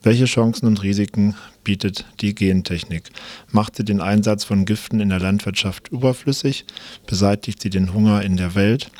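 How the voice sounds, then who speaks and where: Low-voiced man reading a radio announcement. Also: normal speed, warm tone, dry recording. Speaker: radio presenter announcing a programme. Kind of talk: scripted programme announcement, read aloud.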